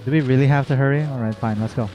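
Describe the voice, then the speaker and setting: A man's voice: medium pitch, rapid-fire, darkish tone, lo-fi sound. A man doing casual playthrough reaction commentary, goofy and theatrical, rambling and slipping into sing-song. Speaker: gaming YouTuber. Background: faint game music.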